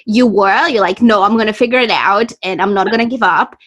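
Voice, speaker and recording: A woman's voice: mid-range voice, a little fast, a bit bright. A woman interviewing a guest in casual chat, speaking English as her second language, encouraging and full of fillers and restarts. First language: not English.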